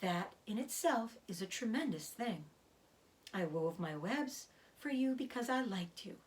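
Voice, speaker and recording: A woman voicing a gentle, weary, dying female spider in a children's storybook, softly and slowly, often near a whisper. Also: mid-range voice, lively intonation, close to an everyday voice. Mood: gentle, reflective. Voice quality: soft, breathy, often whispered. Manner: slow, measured, soothing delivery. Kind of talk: children's storybook read-aloud.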